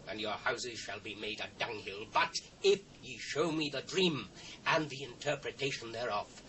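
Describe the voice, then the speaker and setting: Man acting forceful, imperious British ancient king. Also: medium pitch, natural voice. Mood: threatening. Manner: commanding, declamatory. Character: imperious, threatening ancient king, troubled and suspicious. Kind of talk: dramatised scripture reading. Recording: distant, noisy old cassette transfer.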